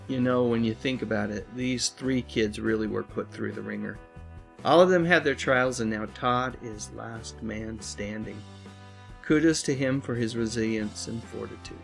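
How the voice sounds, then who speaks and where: A man hosting and narrating a scripted video essay, with earnest, dramatic phrasing and drawn-out endings. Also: mid-range voice, gravelly. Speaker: host, pop culture commentator. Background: music bed.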